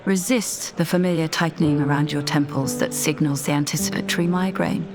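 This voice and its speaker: A woman narrating a scripted guided meditation in a measured, deliberate, soothing manner. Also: deep voice, fairly even intonation. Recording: voice over background music. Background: music.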